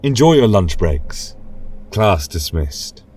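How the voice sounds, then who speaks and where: Audio fantasy narrator: man as male magic lecturer, very low, slow, solemn and dramatic. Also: very animated, a little mellow, distant mic, faint music behind, full character voice. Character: male college lecturer of magic recounting dark history. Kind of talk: dramatic scripted storytelling.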